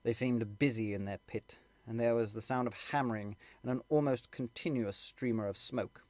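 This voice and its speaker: A man's voice: mid-range voice, fairly even intonation, moderate pace, darkish tone, dry recording. A man reading a literary story aloud as scripted audiobook narration.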